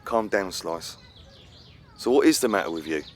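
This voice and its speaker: A man playing a calm, curt, quietly menacing older gang boss in a comic radio play. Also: low voice, moderate pace.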